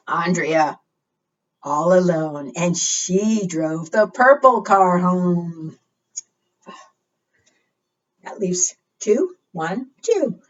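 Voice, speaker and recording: Slow, lively storytime woman, slightly distant. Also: medium pitch. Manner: sing-song, questioning, as if to small children. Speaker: children's librarian leading preschool storytime. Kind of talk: read-aloud storytime with counting rhymes. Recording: muffled, distant microphone.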